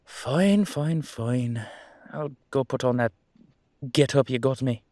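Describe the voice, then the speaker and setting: A man's voice: mid-range voice, slow, warm tone, no echo, close to an everyday voice. A man playing a cheeky, easygoing immortal headless horseman in a roleplay audio drama, talking in a casual, rambling, reassuring way. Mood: exasperated, insistent.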